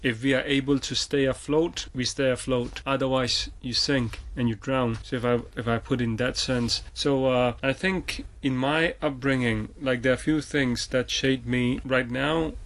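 A middle-aged man answers as an interview guest. His pitch is average and stays quite flat, and he talks in a measured, earnest, reflective way. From Hong Kong.

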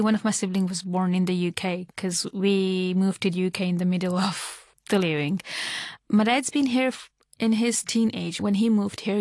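A woman's voice: mid-range voice, vocal fry, a little mellow, no echo. Interview guest, a woman in her thirties from Hong Kong with a mid-range voice. She talks in long, chatty run-on answers, recorded dry and close. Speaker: interview guest, small-business owner.

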